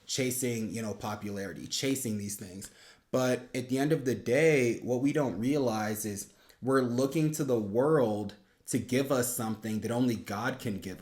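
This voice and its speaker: A man's voice: mid-range voice, darkish tone. A young man giving earnest, reflective faith-based commentary in a conversational, explanatory way, as the host of a review video. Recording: roomy, echoing sound.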